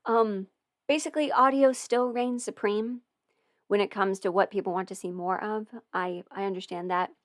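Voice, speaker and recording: A woman's voice: mid-range voice, steady tone, normal speed, dry recording, clean studio sound. Chatty young woman on a livestream. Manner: chatty and meandering, many fillers and restarts. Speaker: audio performer and channel host. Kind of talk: casual livestream Q&A.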